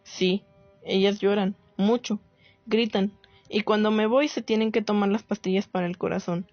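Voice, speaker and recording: A woman playing a reflective writer, narrating a radio play in a solemn, deliberate, literary way. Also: medium pitch, steady tone, no room sound, dull audio, soft background music, close to an everyday voice. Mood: solemn reflection. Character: reflective woman writer narrating her search for women's war stories.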